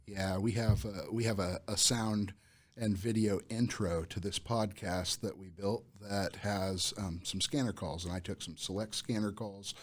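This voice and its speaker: Low, husky American man hosting a podcast. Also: steady tone, measured pace. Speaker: podcast host. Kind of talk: casual podcast chat.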